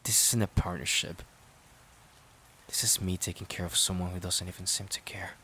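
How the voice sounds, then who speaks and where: A man playing a resentful, overworked boyfriend in an emotional audio roleplay, halting and sighing as he repeats himself. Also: low voice, level tone, bright, forceful tone, slight background noise. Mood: weary resentment. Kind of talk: emotional audio roleplay, intimate dramatic monologue.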